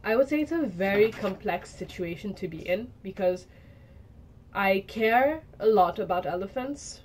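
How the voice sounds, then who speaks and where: A young woman from Zimbabwe and Kenya giving a personal portfolio presentation, reflective and pausing often to restart her thoughts. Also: medium pitch, expressive, a little hiss or hum. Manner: reflective, with frequent restarts and hedges.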